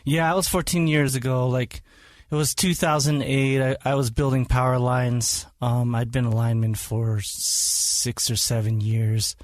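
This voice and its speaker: A man's voice: medium pitch, steady tone, measured pace, no echo, clean studio sound. A man who was born in Hawaii and raised in Utah, a podcast guest talking casually with frequent ums and restarts. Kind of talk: casual interview talk.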